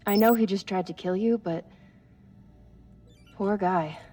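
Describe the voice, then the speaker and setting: A woman playing an earnest, mellow crewmate. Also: medium pitch, unhurried, soft background music, lightly acted. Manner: earnest, emotional. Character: sympathetic female crewmate.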